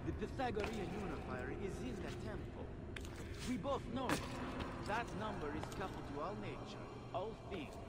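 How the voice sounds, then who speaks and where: An actor playing a grandiose, zealous cult leader in a video game, preaching in lofty oratory. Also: male voice, high-pitched, lively intonation, normal speed, heavy background noise. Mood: fervent. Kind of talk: video game cutscene acting.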